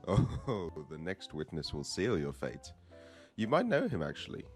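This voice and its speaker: A man's voice: sits low, huge pitch swings, measured pace, faint music behind, exaggerated voice. A man playing a cynical, gloating prosecutor in an audio drama, smug and menacing in a formal way.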